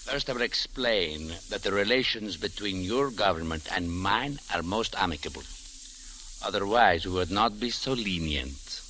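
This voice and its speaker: An actor playing a stern, haughty Egyptian government official with formal, deliberate speech in an old-time radio drama. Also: male voice, low-pitched, expressive, bright, forceful tone. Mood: coldly polite. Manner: deliberate, formal, menacingly polite. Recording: hissy old radio recording.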